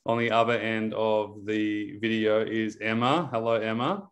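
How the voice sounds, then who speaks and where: A man's voice: lowish voice, steady tone, measured pace. A man in his thirties interviewing a guest over a video call, chatty with lots of fillers and laughs. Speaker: interviewer, recovery program coach. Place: indoor room.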